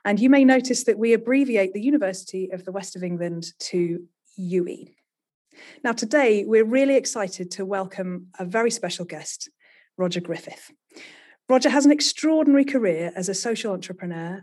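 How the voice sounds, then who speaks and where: A woman hosting an academic podcast, reading a measured, welcoming introduction. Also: mid-range voice, a little mellow. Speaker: podcast host.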